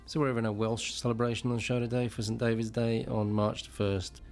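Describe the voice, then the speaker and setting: A man's voice: mid-range voice, level tone, a little fast, darkish tone. A man hosting a music radio show, presenting casually and informally with some hesitation.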